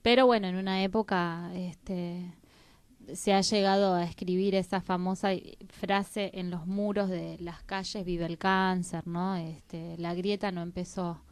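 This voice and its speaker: A woman's voice: on the low side, fairly even intonation, measured pace, clear, resonant tone, no echo. A woman with an Argentine accent, hosting a conversational discussion of history. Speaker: talk show host.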